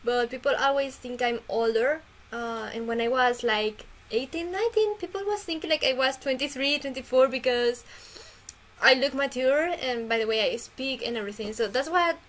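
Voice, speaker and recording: A woman's voice: sits high, moderate pace. A 23-year-old woman chatting on a video call, talkative and explaining herself at length. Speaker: video chat user. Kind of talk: casual video chat.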